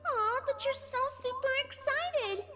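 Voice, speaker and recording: An extremely squeaky female cartoon pony speaks with sugary, sing-song menace. She is cheerful and sadistic at once. Her voice rings in long reverb over clearly audible music. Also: expressive, a little slow, bright, forceful tone, exaggerated voice. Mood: playfully menacing. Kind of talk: dramatic fan fiction audio drama.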